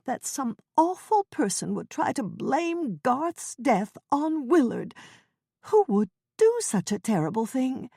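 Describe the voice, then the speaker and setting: A woman's voice: high-pitched, very animated, slow pace, vocal fry, warm tone, slightly rough, no room sound, clean studio sound, voice pushed up, heavily acted. A woman voicing a fretful, naive woman in her seventies who talks in anxious, flustered bursts in a storybook reading. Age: 70s.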